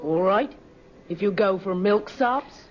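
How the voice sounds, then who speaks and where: A woman's voice: medium pitch, slow, natural voice. Brash young country girl played by a woman, muffled. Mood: blunt, confiding. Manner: sassy, blunt. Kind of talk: old-time radio acting. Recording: muffled, noisy old radio recording.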